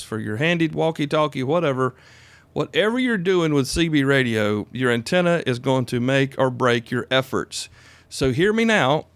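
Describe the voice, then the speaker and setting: A man's voice: a little high, clean tone, no echo. A man in his fifties with a Southern US accent, talking informally and plainly as a podcast host, folksy and emphatic. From South Carolina, United States. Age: 50s. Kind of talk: informal solo podcast talk.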